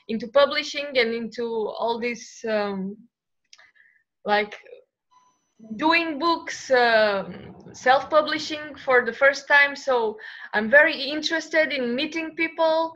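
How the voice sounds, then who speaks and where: Young woman from bulgaria, high bright voice, friendly, hesitant, muffled call audio. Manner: friendly, searching for words with many fillers. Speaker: illustrator guest. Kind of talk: casual interview answers.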